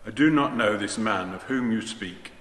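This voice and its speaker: A man's voice: fairly low pitch, moderate pace, fairly bright tone, lightly acted. A man playing a defensive disciple in an emphatic, declamatory scripture reading. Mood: defensive denial. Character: Peter, defensive male disciple denying his master. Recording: echoey church, long reverb, faint noise.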